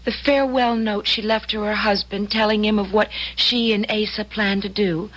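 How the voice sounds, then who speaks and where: A woman's voice: medium pitch, steady tone, normal speed, a bit bright, close to an everyday voice, low-quality recording. An actress playing an earnest, anxious but loyal young woman traveling through time, in old-time radio drama style. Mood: grave, resolved.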